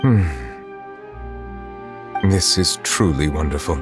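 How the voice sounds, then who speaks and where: An actor playing a dignified, reserved high official in a video game, speaking in a measured, formal, composed way. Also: male voice, animated, exaggerated voice. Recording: long reverb, music behind.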